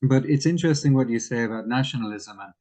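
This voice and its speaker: A man giving careful, measured explanations of current affairs as a guest in an interview. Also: medium pitch, warm tone.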